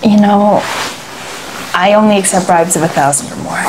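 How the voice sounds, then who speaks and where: A woman playing a chatty, playfully pesky airport security agent in an ASMR role-play, with a folksy, unhurried manner. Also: medium pitch, noisy background, close to an everyday voice. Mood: officious, playful. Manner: folksy, teasing, deliberately unhurried. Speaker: ASMR performer.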